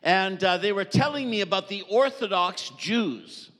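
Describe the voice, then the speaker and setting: Middle-aged male preacher, light higher voice, jovial, hall reverb. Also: expressive, fairly bright tone. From Canada. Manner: jovial, joking, pausing for translation. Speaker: visiting preacher. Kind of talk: sermon with live interpreter. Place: church hall.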